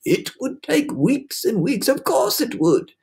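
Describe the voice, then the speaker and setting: An old green grasshopper gentleman, played by a story reader, speaks formally and kindly, with an anxious edge. The voice is raised and expressive, drawing out words in storybook fashion. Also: high-pitched, gravelly, voice pushed up, full character voice. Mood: relieved. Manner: formal, genteel, measured. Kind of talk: children's storybook read-aloud.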